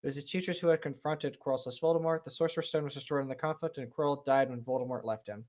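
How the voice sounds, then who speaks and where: A man's voice: medium pitch, fairly even intonation, fast talker, darkish tone. A man chatting casually and reading aloud as a co-host of a commentary show. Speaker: co-host reading and reacting to fan fiction. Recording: dull, lo-fi microphone sound.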